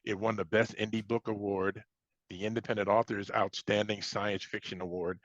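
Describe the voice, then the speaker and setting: Low-voiced American man, dull sound. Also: level tone, normal speed, fairly bright tone, no echo. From Chicago, United States. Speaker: science fiction author, interview guest. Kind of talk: casual interview chat.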